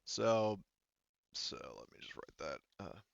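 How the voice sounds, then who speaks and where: A man running a tabletop game as game master, narrating casually and haltingly as he improvises. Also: low-pitched, very animated, creaky, dry recording, dull audio.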